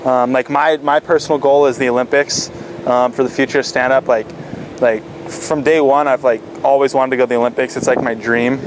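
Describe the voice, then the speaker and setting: A teenage man answering interview questions in a relaxed, casual way, with frequent fillers and restarts. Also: mid-range voice, a little fast, music in the background, low-quality recording. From Florida. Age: teens. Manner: laid-back, lots of fillers and restarts. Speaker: stand-up paddle athlete.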